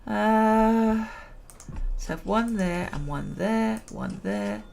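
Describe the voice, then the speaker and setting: Gravelly, slow-talking woman gaming. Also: medium pitch, very animated, darkish tone, a little hiss or hum. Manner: chatty, self-amused, laughs at own jokes. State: losing her voice. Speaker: gameplay commentator. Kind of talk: relaxed let's-play commentary.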